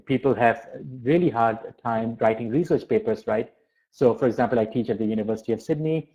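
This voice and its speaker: A man who grew up speaking Urdu and English, giving an informal academic webinar lecture as a professor, haltingly, often repeating words and restarting phrases. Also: medium pitch, dark tone. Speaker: university professor of applied linguistics giving a webinar. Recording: dull, lo-fi webinar audio.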